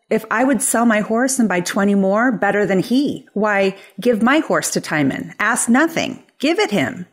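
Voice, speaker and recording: Woman playing an imperious, indignant Shakespearean senator. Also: mid-range voice. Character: impatient, indignant senator demanding repayment. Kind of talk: unrehearsed reading of a Shakespeare play.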